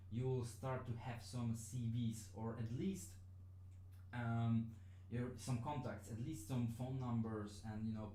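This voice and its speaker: A man whose first language is Polish talks through a live-streamed lesson, stopping and restarting often with plenty of fillers. His voice is mellow and mid-pitched. The room is echoey, with faint noise behind him. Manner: hesitant, many fillers and restarts. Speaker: online business trainer.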